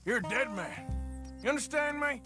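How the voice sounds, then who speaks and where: An actor playing a tough, blunt outlaw cowboy with a rural Western American drawl, in a video game. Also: male voice, very high voice, expressive, normal speed, over loud music. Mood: furious threatening.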